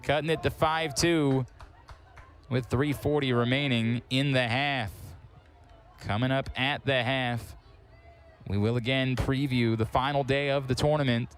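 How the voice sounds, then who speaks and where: Guy doing play-by-play, headset mic, faint music underneath. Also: mid-range voice. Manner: quick, excitable calls on big plays. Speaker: sports commentator. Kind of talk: live sports play-by-play commentary.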